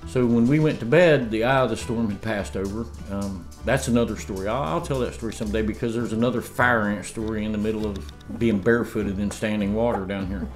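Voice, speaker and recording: Man, 50s, homestead vlogger, low voice, loose rambling chat, room camera, soft music bed. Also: fairly quick, hardly any pauses. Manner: rambling storyteller with laughs and restarts. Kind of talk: casual chat. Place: home dining room, indoors. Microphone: camera across the table.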